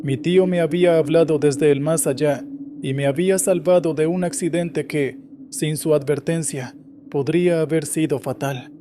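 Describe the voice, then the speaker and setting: A man reading scripted first-person horror stories in a steady, measured way. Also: fairly high pitch, noisy background. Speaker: horror story narrator.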